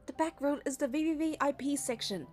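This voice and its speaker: A woman's voice: high voice, fairly quick, a little mellow. A young woman narrating a game playthrough, reading the story and the player character's part in a casual way. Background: music.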